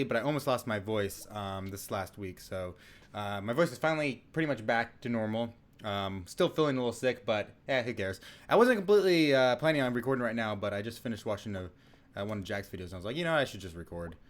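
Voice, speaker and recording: A young man hosting a casual let's-play, chatting in a rambling way with lots of fillers and restarts. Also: mid-range voice. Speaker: gaming channel host.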